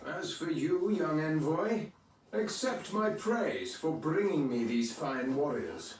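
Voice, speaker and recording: Haughty, high-pitched, animated crusader king. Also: slow, a little hiss or hum, low-quality recording. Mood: grateful, commanding. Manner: imperious, grandiose. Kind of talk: theatrical video game acting.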